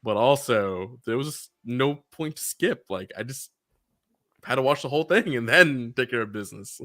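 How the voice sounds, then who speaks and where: A man's voice: mid-range voice, expressive, normal speed, a bit bright, dry recording, clean studio sound. Easygoing man co-hosting a podcast. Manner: easygoing and chatty, laughs often. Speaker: podcast co-host. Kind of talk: casual podcast chat.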